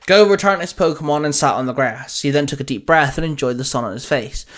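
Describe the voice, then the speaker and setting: A man's voice: on the high side, fast talker, fairly bright tone. A man reading out a scripted adventure story as narrator. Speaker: storyteller, fan fiction reader.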